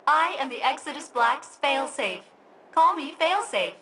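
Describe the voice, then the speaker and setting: A woman voicing a malfunctioning female ship computer in a video game, split between formal cheer and sullen sarcastic deadpan. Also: high-pitched, a little slow, slight background noise, full character voice. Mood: chirpy, formal.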